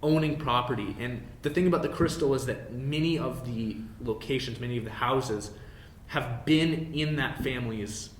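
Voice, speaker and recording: Male game master, casual self-deprecating narration, roomy recording with faint low rumble. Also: moderate pace, hardly any pauses. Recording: roomy echo, low rumbling background noise.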